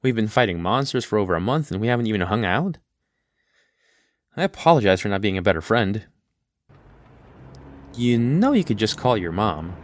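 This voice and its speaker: A man's voice: fairly low pitch, very animated, normal speed, pauses often, no echo. A man playing a friendly, frank, well-off young man in an audio drama. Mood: friendly, earnest. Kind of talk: audio drama character acting.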